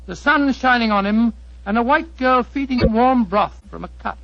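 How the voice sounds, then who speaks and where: A man's voice: very high-pitched, normal speed, gravelly, no room sound. An actor playing an earnest English doctor narrating his friend's adventure dramatically, with a British accent, in 1940s radio style. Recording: dull 1940s radio recording.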